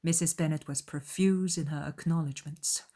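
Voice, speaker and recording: A woman's voice: low voice, steady tone, normal speed, dark tone. A woman reading scripted literary narration as an audiobook narrator.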